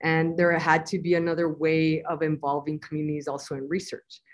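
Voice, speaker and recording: A woman from the US-Mexico border answers interview questions in a low, earnest voice. She is bilingual and talks in a measured way, pausing on small fillers as she explains her work. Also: monotone. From US-Mexico border, Nogales. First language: bilingual Spanish and English. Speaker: guest, community-engaged scientist.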